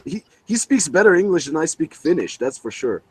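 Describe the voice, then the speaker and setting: A man's voice: fairly high pitch, very animated, brisk, slight background noise. A man in casual group chat, telling stories with frequent restarts.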